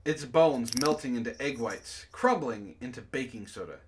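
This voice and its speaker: A man hosting a comedy book club, reading aloud and bantering casually in an animated, mock-dramatic way, prone to laughing. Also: mid-range voice, moderate pace.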